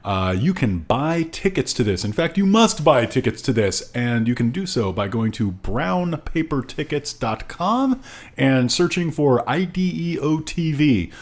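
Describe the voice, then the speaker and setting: Male podcast co-host. Average pitch, wry banter, tongue-in-cheek pomp. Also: very animated, measured pace. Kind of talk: casual podcast banter and plugs.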